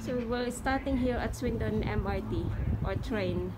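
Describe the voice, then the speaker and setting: A woman's voice: a little high, measured pace. A young woman filming a casual travel vlog on a handheld phone, chatting about the ride and the view from a train. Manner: chatty, narrating the view as she goes. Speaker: travel vlogger. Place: on a train and station platform. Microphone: handheld phone camera. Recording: loud train noise.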